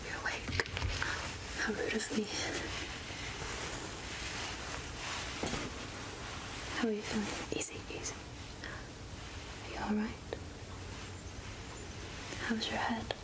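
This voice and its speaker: A woman playing a gentle, absent-minded witch healer in a soft-spoken ASMR roleplay, half-whispering hesitantly close to the microphone. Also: a little high, monotone, lightly acted, poor audio. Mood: soothing, concerned. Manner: slow, gentle, hesitant murmuring with repeated phrases. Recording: close-up ASMR with clear background noise.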